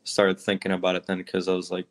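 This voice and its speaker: A man with a very low voice talks in a flat, even way. He chats casually as a guest over a video call. He peppers his story with "like". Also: quick pace. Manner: casual, says "like" a lot. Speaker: guest caller. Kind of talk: casual chat.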